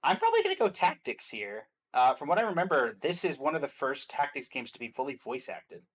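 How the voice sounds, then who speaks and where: Pria mengobrol santai soal gim. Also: male voice, fairly high pitch, very animated, brisk. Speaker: guest commentator on game awards stream. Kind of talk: casual chat, opinionated game commentary.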